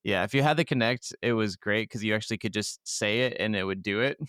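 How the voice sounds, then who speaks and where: A man's voice: on the low side, lively intonation, fairly quick, fairly bright tone, no room sound, clean studio sound. A young man talking casually as a podcast co-host in an agreeable, conversational way. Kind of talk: casual gaming podcast chat.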